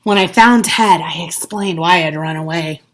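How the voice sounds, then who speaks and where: A woman reading a children's story aloud expressively, with dramatic pauses and hushed asides. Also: fairly low pitch. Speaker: storybook reader, teacher.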